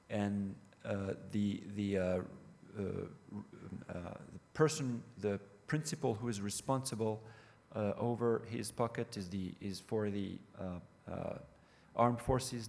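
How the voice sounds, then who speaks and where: A middle-aged man with a French accent, a non-native English speaker, giving a conference presentation in a halting way with many fillers and restarts. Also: lowish voice. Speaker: panel speaker. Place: conference hall. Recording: reverberant hall with faint noise.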